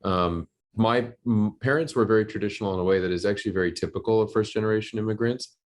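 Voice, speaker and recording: A man in his thirties, a film director and physician, giving articulate, measured answers as an interview guest. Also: fairly low pitch.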